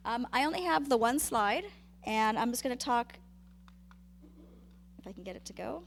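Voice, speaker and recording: A woman losing her voice and fighting a cough, a public health presenter who apologizes and clears her throat as she speaks at a committee meeting. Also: fairly high pitch, expressive, moderate pace, a little hiss or hum.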